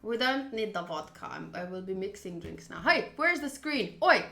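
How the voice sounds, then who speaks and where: A young woman streaming a game, talking to chat in a playful, animated, jokey way. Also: mid-range voice, normal speed, echoey room. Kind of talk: casual livestream reaction.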